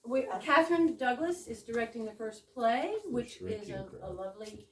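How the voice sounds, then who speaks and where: A woman's voice: on the high side, lively intonation, normal speed. A woman hosting a readers theater evening, giving a live welcome speech with theatrical flourishes and chatty asides. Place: room in a private home with a small audience. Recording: echoey room, distant mic, background noise.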